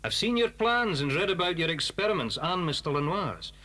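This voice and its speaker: An actor playing a calculating smuggler villain in a children's audio drama, smoothly courteous with an edge of menace. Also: male voice, a little high, sing-song, pushed and bright, full character voice. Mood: persuasive, smug. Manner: courteous, unhurried persuasion with menace.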